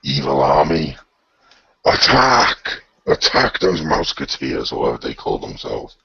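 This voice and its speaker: A man voicing a pompous, petulant villain emperor in a hobby radio play, imperious and indignant, with a gravelly, husky voice. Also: very deep voice, very animated, unhurried, bright, forceful tone, no room sound, dull audio, exaggerated voice. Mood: commanding. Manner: imperious, indignant, fussily literal.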